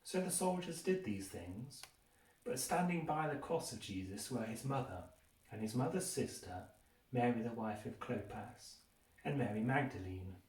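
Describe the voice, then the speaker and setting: A man's voice: mid-range voice, animated, normal speed, a little mellow. Echoey, distant man reading scripture emphatically. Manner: emphatic, raises voice on quoted speech. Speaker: scripture reader. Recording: echoey room, distant microphone.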